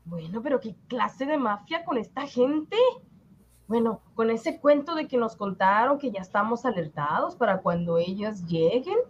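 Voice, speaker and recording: A woman's voice: a little high, lively intonation, normal speed, highs cut off, slight background noise, natural voice. A woman playing a stubborn working-class housewife, emphatic and colloquial in a radio drama. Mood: indignant.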